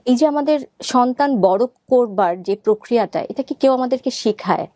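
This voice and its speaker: Female interview guest, middle-aged, Bangladeshi. Higher-pitched, reflective and sincere, mixes in English, very dry recording. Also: lively intonation, moderate pace, warm tone, no echo. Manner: earnest, code-switches often into English. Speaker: interview guest, doctor and parenting content creator. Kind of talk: reflective personal talk in an interview.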